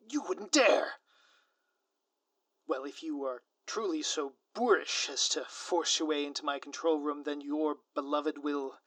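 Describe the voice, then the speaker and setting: A man's voice: fairly high pitch, a bit bright, dry recording, full character voice. A man playing a gleefully scheming, grandiose supervillain in an audio roleplay, pompous and taunting with florid, melodramatic delivery. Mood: flustered, threatening.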